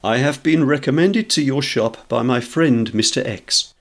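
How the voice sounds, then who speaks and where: A man voicing a polite, earnest gentleman customer who speaks in formal, stilted phrasebook sentences. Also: medium pitch, a little slow, voice pushed up. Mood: courteous.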